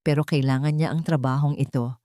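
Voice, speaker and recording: A woman with a Filipino accent narrates a dramatic story. Her voice sits on the low side for a woman. The sound is dry, with very little room in it. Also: fairly even intonation, brisk, mellow, no room sound.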